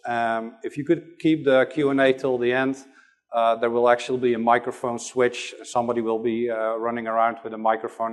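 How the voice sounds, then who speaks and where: Dutch-accented man giving a flat presentation. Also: medium pitch. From Netherlands. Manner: hesitant, many fillers. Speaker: conference presenter. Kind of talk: conference talk.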